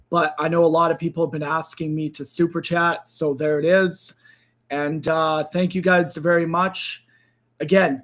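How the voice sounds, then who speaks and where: A man hosting a crypto trading livestream, speaking casually to viewers, hoarse and tired as his voice gives out. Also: fairly bright tone. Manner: tired, low-energy sign-off with frequent fillers.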